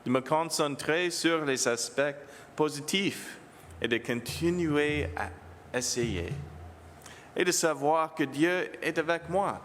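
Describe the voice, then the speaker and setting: A priest whose first language is English, speaking French with an English accent, giving a homily to children in a halting manner and slipping English words in. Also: male voice, on the high side, expressive, a bit bright, long reverb tail, slight background noise.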